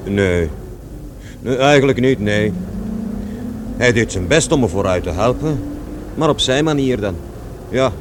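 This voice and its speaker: An actor playing a disgruntled working-class man with a mid-pitched voice, wry and self-deprecating. He rambles hesitantly in radio-play conversation, with clear traffic rumble behind him. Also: expressive, a little slow, pauses often, lightly acted. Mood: reflective.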